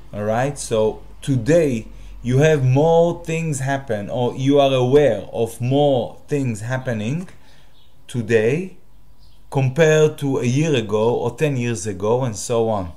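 A man from Israel with a Hebrew accent, a native Hebrew speaker hosting his own channel and talking to the camera in a direct, halting way with many fillers. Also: mid-range voice, a little mellow. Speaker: channel host. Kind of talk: solo talk to camera.

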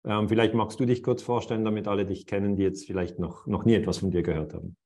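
Zögernder Schweizer Moderator, tiefe Stimme. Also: male voice, lowish voice, steady tone, fast talker, warm tone. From Switzerland. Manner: hesitant, many fillers and restarts. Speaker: host. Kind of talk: interview over video call.

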